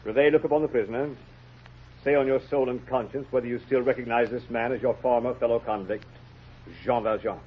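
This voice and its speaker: A man's voice: mid-range voice, steady tone, fairly quick, natural voice. A man playing a stern, formal judge in an old radio drama, speaking with pompous authority. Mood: stern, measured. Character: stern, formal male court judge, courteous yet commanding. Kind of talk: 1930s radio drama acting. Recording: dull old radio recording.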